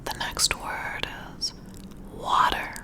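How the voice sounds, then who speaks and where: Slow, whispering ASMR woman. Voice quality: breathy, unvoiced whisper. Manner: soothing, gentle, deliberate instructions. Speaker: ASMR creator role-playing a boss giving a personality test. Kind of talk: whispered ASMR role-play. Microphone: close-up ear-to-ear microphone.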